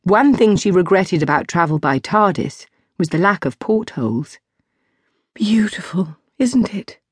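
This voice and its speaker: A woman narrates scripted fiction as an audiobook reader. Her voice sits a little low for a woman, and the sound is dry and close. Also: expressive, moderate pace, dry recording.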